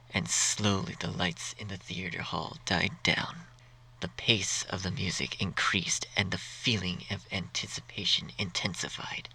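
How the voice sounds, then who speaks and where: A man's voice: sits low, creaky, noisy background. A man reading a scripted story as its narrator.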